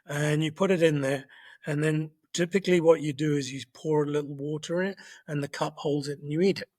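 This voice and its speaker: Man, middle-aged, chef, fairly high voice, easygoing step-by-step explaining, dry close sound. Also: a little fast, dry recording. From born in Kenya, grew up in London. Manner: relaxed, explains recipes step by step with many ums. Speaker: chef guest. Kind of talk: casual podcast interview.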